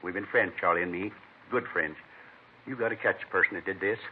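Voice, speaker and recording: An actor playing a rambling, earnest middle-aged American neighbor in an old radio crime drama, plain-spoken and folksy. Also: male voice, low-pitched, a little fast, background noise, natural voice. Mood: earnest, upset. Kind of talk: 1950s radio acting. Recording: thin, narrow-band vintage radio recording.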